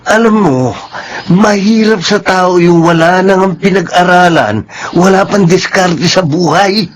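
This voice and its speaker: A man's voice: sits high, a little slow, a little hiss or hum. A male actor with a Filipino accent playing a hot-tempered, greedy, scornful friend in a radio drama, scolding with contempt.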